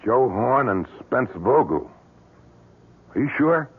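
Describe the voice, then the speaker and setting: An American actor playing a middle-aged, hard-boiled police inspector, gruff, blunt and suspicious, in a radio drama. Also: male voice, mid-range voice, sing-song, slow pace, full character voice. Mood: gruff, skeptical. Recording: muffled old radio broadcast.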